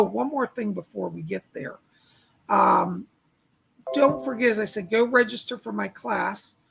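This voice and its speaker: A man hosts a live stream in a very gravelly, husky voice that sounds a little tired. He talks directly to his viewers in a casual, informal way. The rasp runs through everything he says. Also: high-pitched, fairly bright tone. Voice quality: raspy. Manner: chatty, exclaiming, addresses viewers by name. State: slightly raspy, tired. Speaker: psychic medium live stream host.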